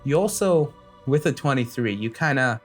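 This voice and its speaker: A man's voice: mid-range voice, lively intonation, moderate pace, faint music behind. A man working as game master, improvising tabletop narration in a casual, conversational way.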